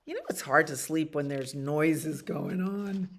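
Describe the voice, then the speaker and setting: A woman's voice: low voice, slight background noise. A woman reading picture books aloud as a children's library storyteller, expressively, with casual asides about the pictures. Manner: expressive reading with acted animal sounds and pauses to comment on pictures. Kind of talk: read-aloud bedtime stories with casual asides.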